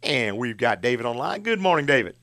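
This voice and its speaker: A man's voice: mid-range voice, big pitch swings, fairly quick, bright, forceful tone. A man, hosting a call-in car advice show in a folksy, plain-spoken way. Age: 60s. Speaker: radio show host and auto repair shop owner.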